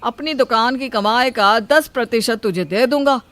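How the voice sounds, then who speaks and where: A woman's voice: a little high, normal speed, a bit bright, no room sound, close to an everyday voice. A woman voicing a boastful, dismissive elder brother in a family story.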